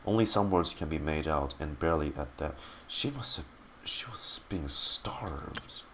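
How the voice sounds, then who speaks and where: A man who streams games, reading in-game text aloud dramatically and haltingly and muttering his reactions. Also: extremely low pitch, fairly bright tone, a little hiss or hum.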